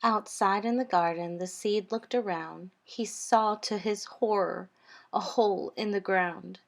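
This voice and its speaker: A woman narrates a rhyming picture book for children. Her pitch sits in the middle and her pace is slow. She reads with expressive, deliberate rhythm, as a storytime reader does. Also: gravelly.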